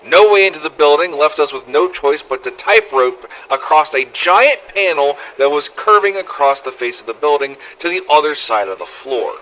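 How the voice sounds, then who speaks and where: A man's voice: fairly high pitch, animated, normal speed, hardly any pauses, sharp tone, low-quality recording. A man narrating a scripted first-person survival story in character, with a dramatic, gritty delivery and some swearing. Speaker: narrator of fan-made game audio logs.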